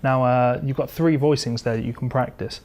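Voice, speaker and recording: A man's voice: mid-range voice, steady tone, moderate pace. A man giving an informal guitar lesson, explaining step by step as he demonstrates. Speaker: guitar teacher. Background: electric or acoustic guitar being played.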